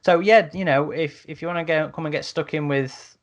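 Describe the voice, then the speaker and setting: A man's voice: on the high side, fairly quick. A man hosting a podcast in a casual, chatty, matey way, speaking informally to listeners. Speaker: podcast host.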